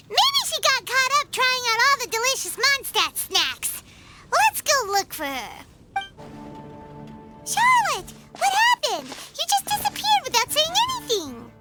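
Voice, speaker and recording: A tiny, nosy fairy sidekick in a game, squeaking at an extremely high, wildly bouncing pitch. The voice is childlike and excitable, with loud music behind. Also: female voice, a little slow, exaggerated voice. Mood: chirpy. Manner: squeaky, exclamatory, talks about self in third person. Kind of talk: video game cutscene acting.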